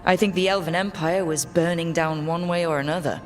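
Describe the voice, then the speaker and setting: A woman's voice: lowish voice, steady tone, fairly quick, faint music behind, close to an everyday voice. A woman voicing a thoughtful, level-headed elven heroine in a fantasy video game, speaking sincerely. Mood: reflective.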